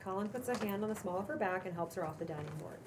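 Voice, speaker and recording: A woman's voice: mid-range voice, rapid-fire. A woman narrating the stage directions of a script at a live table read, in a noisy recording.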